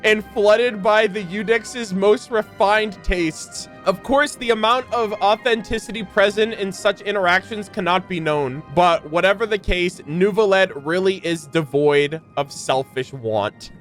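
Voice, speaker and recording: Young man, high voice, game streamer, playful slangy delivery, reading and reacting, light background music. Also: unhurried, few pauses, fairly bright tone. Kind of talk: reading aloud with casual reaction commentary.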